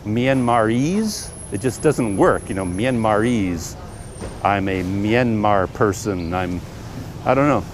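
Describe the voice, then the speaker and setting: A Canadian man talking casually to his camera in a busy outdoor market, thinking aloud with plenty of pauses and fillers. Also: on the low side, lively intonation. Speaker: travel vlogger. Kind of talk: casual talk to camera while walking. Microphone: camera mic. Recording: street noise around the camera. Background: traffic and trucks passing.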